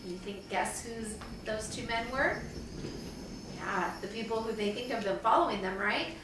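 Lady teacher reading a kids book out loud, very echoey room, lots of background noise. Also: medium pitch. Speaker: teacher reading aloud to pupils. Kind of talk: storybook read-aloud for children. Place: living room at home, indoors. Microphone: far camera. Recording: distant mic, very echoey room, noisy.

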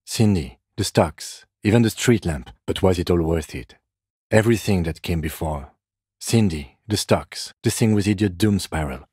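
A male video game police detective with a very deep, slightly husky voice. He is composed and dryly formal, measuring each phrase with restrained exasperation. The sound is close and dry. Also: darkish tone, no room sound. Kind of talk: scripted video game dialogue.

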